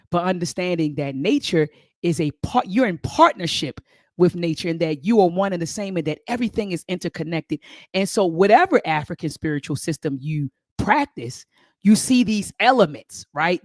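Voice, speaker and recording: A woman's voice: sits low, darkish tone, dry recording, studio quality. A middle-aged woman with an American accent, telling personal stories conversationally as an interview guest, emphatic, repeating phrases and checking in with listeners. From Baltimore County, Maryland, United States. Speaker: interview guest, spiritual speaker.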